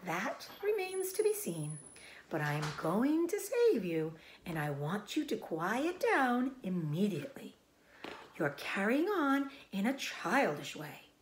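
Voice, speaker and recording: A woman voicing a composed, motherly female spider in a storybook, soft, thoughtful and briskly firm. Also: medium pitch, big pitch swings, slight background noise. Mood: brisk, scolding.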